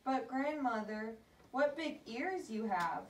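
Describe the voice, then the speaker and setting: Woman acting a sweet fairy-tale girl, childlike, earnest, raised pitch, distant roomy sound. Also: animated, slow pace. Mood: puzzled. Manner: earnest, wide-eyed, childlike. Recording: distant, echoey room.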